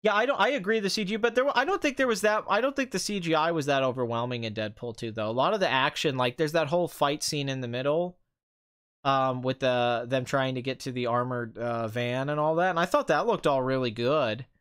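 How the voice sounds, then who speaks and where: A man's voice: medium pitch, fairly quick, fairly bright tone, smooth. A man hosting a casual, rambling live stream as a film commentator, his voice a little strained from hours of talking. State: voice tiring and strained after two hours of talking.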